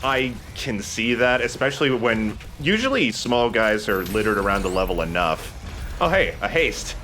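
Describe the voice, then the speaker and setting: A man's voice: lowish voice, sing-song, normal speed, sharp tone. A man giving casual, conversational gaming commentary as a let's play host, swearing now and then. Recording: faint background noise.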